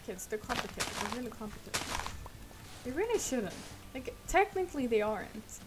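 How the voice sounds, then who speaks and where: A young woman with a Swedish accent chats in a soft, rambling way as she streams a game. Her throat is sore, and loud noise runs under the recording. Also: on the high side, very animated, normal speed. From Sweden. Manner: rambling, soft, laughs often. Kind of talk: casual chat while gaming.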